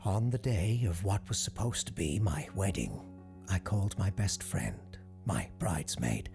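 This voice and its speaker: A regretful woman gives her confession in an audio drama, read by a low-voiced man. The delivery is slow and halting, sinking toward a hush, with music underneath. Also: expressive, vocal fry, heavily acted. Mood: wistful. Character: regretful woman recounting her runaway-bride past. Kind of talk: quoted testimony within audio drama narration.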